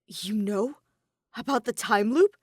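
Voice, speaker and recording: A woman's voice: on the high side, slow pace, a little mellow, no room sound, studio quality. A woman voicing a weary, withdrawn young man in denial, hesitant and subdued, in a fan fiction audio reading. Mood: startled confusion.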